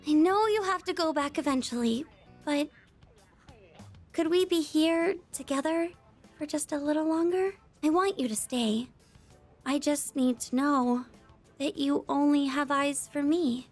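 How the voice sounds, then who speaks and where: A woman's voice: high-pitched, unhurried, soft background music. A woman voicing a shy, clingy, love-struck teenage schoolgirl in a video game, hesitant in manner. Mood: clingy, longing. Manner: hesitant, pleading, soft-hearted.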